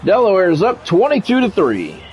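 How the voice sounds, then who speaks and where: A man calling live play-by-play of a football video game in measured, short clipped announcer phrases. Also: high-pitched, huge pitch swings, a little mellow. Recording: dull lo-fi audio with music behind.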